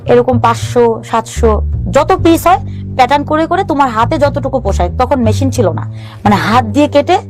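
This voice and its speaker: A woman in a fairly high voice and tells her own story with earnest, halting care. She often breaks off and restarts her sentences. Soft music plays faintly behind her. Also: animated. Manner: earnest and halting, with restarts and English words mixed in. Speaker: guest sharing her life story. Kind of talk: personal storytelling on a talk show.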